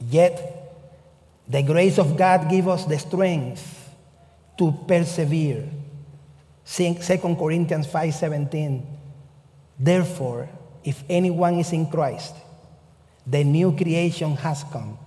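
A Cuban-accented male pastor preaching a sermon, his fairly high but mellow voice moving at an unhurried, deliberate pace. He stresses his phrases with emphatic care. The sound carries a long reverb, as in a large hall. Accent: Cuban Spanish. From Cuba.

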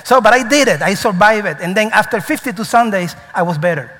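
A male pastor delivers a lively sermon, telling stories with emphatic rises in a fairly high voice. His English grammar slips here and there. The sound carries the long reverb of a church hall. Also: fast talker. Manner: animated, storytelling, emphatic. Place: church sanctuary.

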